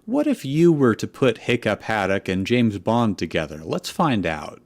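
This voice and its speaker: A man's voice: fairly low pitch, sing-song, normal speed. A man co-hosting a fanfiction comedy show, mixing casual chat with reading aloud.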